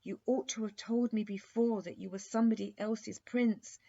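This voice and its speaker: A woman's voice: medium pitch, fairly even intonation, normal speed, gravelly, mellow, pitched above the performer's own voice. A woman voicing a sensitive little princess in a storybook, with an emphatic, childishly dignified manner. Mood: indignant. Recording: dull lo-fi recording.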